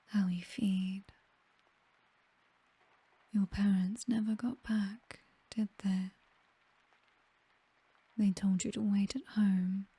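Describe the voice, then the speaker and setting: A woman's voice: mid-range voice, breathy edge, dry recording, exaggerated voice. A British woman playing a possessive, teasing vampire mistress in an intimate ASMR roleplay, whispering breathily. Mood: quietly menacing. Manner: slow, teasing, softly commanding.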